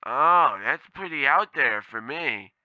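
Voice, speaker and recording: A man acting in an old-time radio comedy as a clueless, tactless temp agency clerk, halting and blunt. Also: medium pitch, animated, phone-quality sound. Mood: confused.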